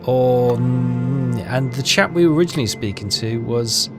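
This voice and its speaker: A man's voice: mid-range voice, normal speed, over music. A man hosting a casual game walkthrough, hesitant and rambling as he thinks aloud. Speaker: gaming video host.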